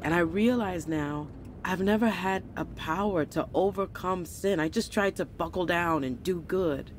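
An actress playing an earnest, troubled middle-aged pastor's wife in a confessional manner for an audio drama. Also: female voice, lowish voice, measured pace, a little hiss or hum, lightly acted. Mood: confessional, anguished.

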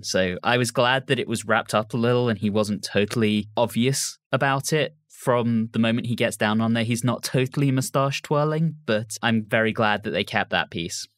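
A man's voice: medium pitch, a bit bright, dry recording, clean studio sound. A man co-hosting a podcast, casually discussing and reviewing a story. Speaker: podcast co-host.

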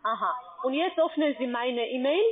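Lady phone agent, broken grammar, high voice, phone-line sound. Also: animated, moderate pace, slightly rough. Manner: instructing step by step, broken grammar. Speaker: scam call center agent. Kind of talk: phone call.

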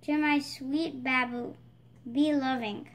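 A woman's voice: sits high, steady tone, takes it slowly. A female channel host reading a picture book aloud. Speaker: storybook reader, channel host.

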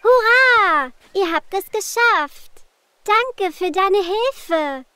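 High-pitched, cheerful little cartoon girl. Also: expressive, sharp tone, no echo, heavily acted. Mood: excited. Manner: slow, clear, encouraging. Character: cheerful, helpful little cartoon girl addressing young viewers. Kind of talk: children's cartoon, teaching and encouraging. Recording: music under the voice. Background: children's music.

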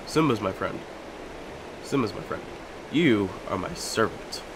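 A young man casually commentating a video game as he plays, chatty and joking, with sudden loud outbursts. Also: lowish voice, expressive, measured pace, background noise. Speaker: gaming YouTuber. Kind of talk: casual let's-play commentary.

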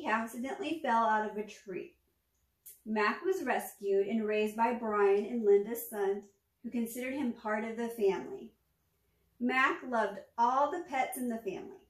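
A woman in her forties reading a children's picture book aloud, with measured, expressive storytelling. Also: fairly high pitch. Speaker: storytime reader, former teacher. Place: small furnished room at home, indoors. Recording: echoey room, distant microphone.

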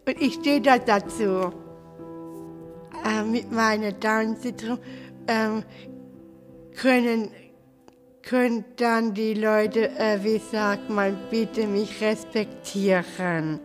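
A young woman speaking earnestly in an interview, pausing to search for words. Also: medium pitch, fairly even intonation. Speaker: participant. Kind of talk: interview testimony. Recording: music bed under the voice.

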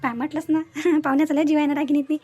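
A woman acts out a homely middle-aged mother from a cartoon family story. Her voice is high, with a Vidarbha Marathi accent, and she speaks in a gentle, reassuring way. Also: level tone, fast, lightly acted. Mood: hopeful, tender. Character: soothing middle-aged mother, tender, placating wife. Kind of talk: cartoon family drama dialogue.

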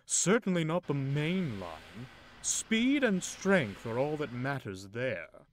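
A man's voice: mid-range voice, very animated, slow, slight background noise, voice pushed up. A man playing a pompous blue engine. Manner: grandiose, loud, condescending. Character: pompous boastful male blue tank engine.

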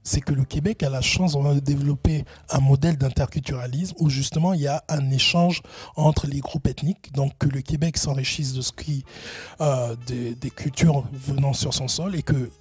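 A man's voice: medium pitch, fairly even intonation, normal speed, darkish tone, breathy edge, no echo, soft background music. A middle-aged man answering interview questions at length, hesitant, with many fillers and restarts. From Benin, raised in Gabon. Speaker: guest, entrepreneurship consultant.

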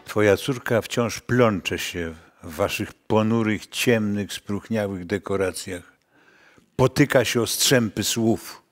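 A man's voice: on the low side, slow pace. Grave older father. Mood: grave reproach. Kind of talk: staged radio drama reading.

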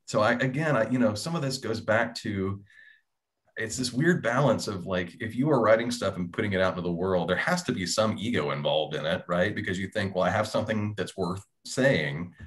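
A man's voice: low-pitched, fairly bright tone. A man in his thirties, a writer, talking casually as a panelist on a video call. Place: small indoor room.

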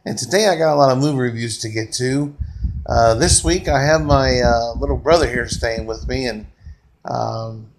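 A man's voice: medium pitch, poor audio. A middle-aged man giving a casual, unscripted movie review from his bedroom, lying back and talking into a close webcam, rambling with lots of fillers and gushing praise. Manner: relaxed, rambling, frequent uh fillers, enthusiastic praise. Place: bedroom, lying on a couch or bed, indoors. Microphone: webcam close to the face.

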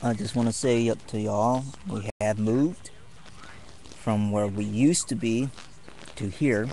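A young man chatting casually to camera from a tent while camping, halting often with ums and pauses. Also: fairly low pitch, steady tone, dark tone, rough recording. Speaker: martial arts vlogger. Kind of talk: rambling casual vlog.

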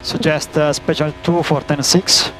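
A man working as a race engineer, giving terse, halting instructions over team radio and repeating key commands. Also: a little high, level tone, a bit bright. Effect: team radio transmission. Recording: noisy motor-racing team radio.

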